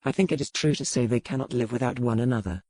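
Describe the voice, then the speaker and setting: An actor playing a stern, world-weary pirate captain in an audiobook, speaking in a measured, formal way. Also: male voice, medium pitch, darkish tone, no echo, natural voice. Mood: cynical, reflective. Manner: measured, formal, matter-of-fact. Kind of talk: audiobook character dialogue.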